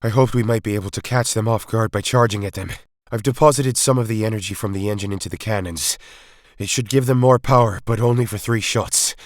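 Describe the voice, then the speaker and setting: A man's voice: on the low side, fairly quick, dry recording, studio quality, pitched above the performer's own voice, close to an everyday voice. A man playing an anxious, urgent time-travelling alien hero, speaking emphatically. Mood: urgent, grave.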